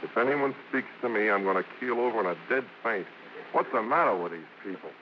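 A man's voice: fairly low pitch, animated, fairly quick, lightly acted. An American actor playing a wry, theatrical father in a 1950s radio drama. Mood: dry exasperation. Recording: dull, very noisy old radio recording.

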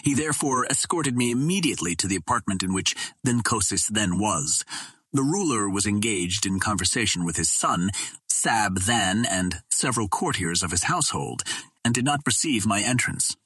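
A man narrates a pulp fantasy audiobook. His voice sits on the low side and has a clearly husky grain. The recording is very dry, with hardly any room around it. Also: expressive, normal speed, fairly bright tone, dry recording.

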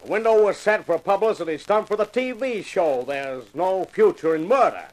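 A taunting homicide inspector from a fifties radio play. His fairly high voice swings widely in pitch, heavy with sarcasm as he needles people and takes charge. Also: lively intonation, normal speed, darkish tone, poor audio. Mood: loud, taking charge. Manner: mocking, heavily sarcastic, needling. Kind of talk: 1950s radio drama acting.

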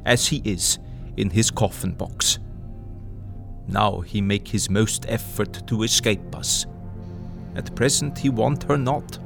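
A man voices a grave, commanding old professor in a radio-style drama. He speaks in formal, broken English, urgent and earnest. His voice sits at a middle pitch over clear background music. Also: lightly acted. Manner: urgent, earnest, drops to hushed tones. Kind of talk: audio drama acting.

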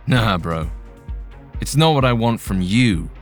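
A man voicing a bitter, out-of-shape man seeking control, speaking low and grim. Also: animated, slow, soft background music.

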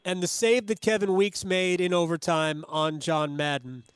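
A man's voice: sits high, fairly even intonation, moderate pace, clear, resonant tone, no echo, studio quality. A man hosting conversational sports talk, recalling things in an eager, opinionated, rambling way. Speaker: sports show host.

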